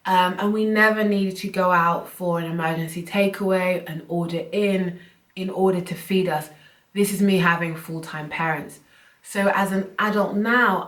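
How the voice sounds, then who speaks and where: A young woman chats to her viewers from home, a little croaky and short of breath. Her voice sits slightly low and comes from a distance, with the room adding a clear echo. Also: a bit bright. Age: young adult. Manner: chatty, earnest, loose run-on phrasing. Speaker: vlogger. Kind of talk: casual talk to camera. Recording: roomy, from a distance.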